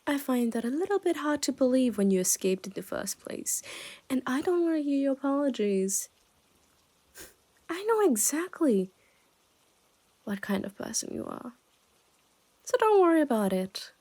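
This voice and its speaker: A woman playing a smug, possessive yandere kidnapper in an ASMR roleplay, teasing the listener and drifting into breathy whispers. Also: high voice, big pitch swings, normal speed, long pauses, mellow. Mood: stern, indulgent.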